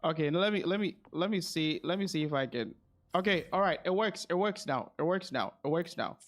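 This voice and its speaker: A man's voice: fairly high pitch, expressive, fairly quick. A man chatting casually on a game livestream, thinking aloud and repeating his phrases as he goes. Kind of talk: casual livestream chat while gaming.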